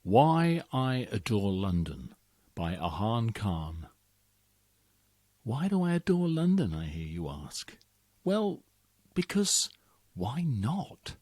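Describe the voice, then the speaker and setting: Theatrical man reading with swooping pitch. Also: sing-song, takes it slowly, long pauses, darkish tone, no room sound, clean studio sound. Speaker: reader of competition entries. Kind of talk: expressive poetry reading.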